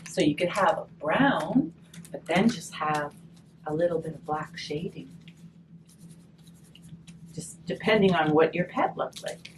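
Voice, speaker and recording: A woman with a lowish voice and very animated pitch leads a craft demonstration in a chatty, encouraging way, thinking aloud as she goes. She takes her time over each step. She is picked up from a distance in a noisy room. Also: unhurried, long pauses. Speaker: craft workshop host.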